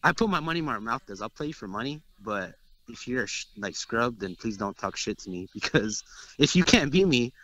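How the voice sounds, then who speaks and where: A young man from Texas trash-talks his rivals, boastful and loose, filling every pause with "like." His voice is bright and forceful at a middle pitch. He rambles with easy confidence. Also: animated, low-quality recording. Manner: brash, boastful, rambling with many "like"s. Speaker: interviewed competitive fighting game player. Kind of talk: casual trash talk interview.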